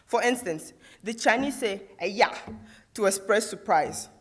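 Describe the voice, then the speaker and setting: A woman's voice: mid-range voice, expressive, unhurried. Young woman from Côte d'Ivoire, first language Guro, student orator. Prepared speech on a theatre stage, rhetorical, asks the audience questions. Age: young adult.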